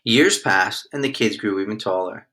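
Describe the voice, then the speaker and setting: A man reading a children's storybook aloud in an expressive storytelling manner. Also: on the low side, moderate pace. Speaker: storybook reader.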